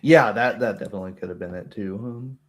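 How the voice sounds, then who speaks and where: A man's voice: fairly low pitch, fairly quick. Casual man chatting, easygoing and informal. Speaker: game master and stream host. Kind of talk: casual post-game group chat.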